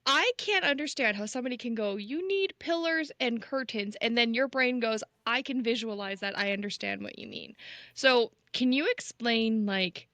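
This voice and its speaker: Curious higher-voiced woman co-host. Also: lively intonation, fairly bright tone, no echo. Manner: curious, reacts with quick interjections. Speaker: podcast co-host, non-author. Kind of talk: casual podcast chat.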